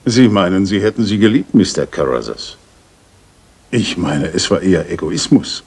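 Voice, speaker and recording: An actor playing a decent, plain-spoken Victorian gentleman in a radio play, frank and questioning. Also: low-pitched, lively intonation, normal speed, husky, full character voice.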